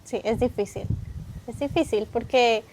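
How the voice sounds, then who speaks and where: A woman's voice: on the high side, unhurried, darkish tone, a little hiss or hum, poor audio. A Colombian woman hosting an interview, agreeable, nodding along with short affirmations and adding her own thoughts. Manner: agreeable, short affirmations, builds on the guest's points. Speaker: host. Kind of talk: conversational interview.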